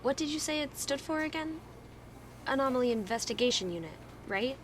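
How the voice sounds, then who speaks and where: A woman's voice: high voice, lively intonation, a little fast, slight background noise. A woman playing a friendly, inquisitive amateur investigator in natural audio drama dialogue. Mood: curious, friendly. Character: Cam, friendly, inquisitive female fellow investigator.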